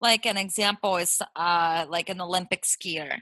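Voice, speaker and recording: A woman's voice: fairly low pitch, normal speed, sharp tone, dry recording. A woman from Brazil with a Brazilian accent, co-hosting a podcast in casual, verbose, playful chat, recorded in a small closet. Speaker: podcast co-host.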